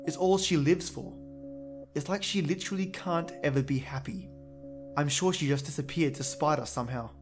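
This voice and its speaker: A man's voice: fairly high pitch, moderate pace, lightly acted. A man playing an agitated, bitter adult son in an audio drama, speaking emphatically. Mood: angry, bitter. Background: background music.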